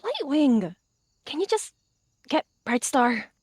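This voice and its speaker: A woman's voice: lowish voice, fairly even intonation, moderate pace, dry recording, clean studio sound, close to an everyday voice. A woman voicing an anxious but kind young she-cat of a wild clan in a roleplay game, earnest and hesitant.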